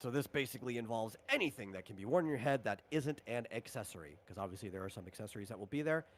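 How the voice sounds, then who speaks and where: A man's voice: medium pitch, lively intonation, fast talker. A man acting as game master, talking through the rules and equipment of a tabletop game in an unscripted way.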